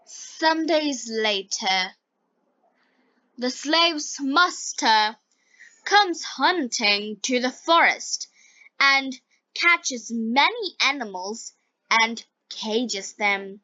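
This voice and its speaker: Very slow, bright Bengali-accented woman. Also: fairly high pitch, animated, no echo, highs cut off. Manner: deliberate, carefully enunciated short phrases. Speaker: English teacher. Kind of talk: slow scripted story reading and vocabulary lesson for learners.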